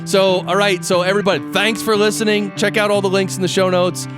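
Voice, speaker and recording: A man's voice: sits high, little pitch movement, fast talker, over music. A man hosting a podcast in casual, upbeat interview chat. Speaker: podcast host.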